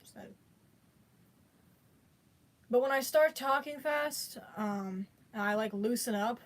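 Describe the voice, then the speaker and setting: A woman's voice: a little high, measured pace. A woman chatting casually to her viewers, rambling with many fillers and restarts. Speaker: vlogger.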